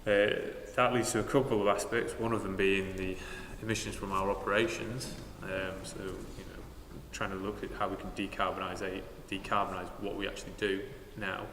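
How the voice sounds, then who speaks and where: A Northern English man speaks on a panel in a very deep voice that barely rises or falls, measured and matter-of-fact. The sound is roomy and echoey, with a long church reverb and clear background noise. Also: level tone, a bit bright. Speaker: university sustainability manager on a panel. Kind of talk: live panel talk in a church. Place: large parish church.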